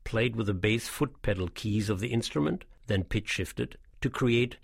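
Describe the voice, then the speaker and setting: A man reading a scripted documentary narration in a measured, explanatory way, as the guide of an audio tour. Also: on the low side, creaky, husky, no room sound, studio quality.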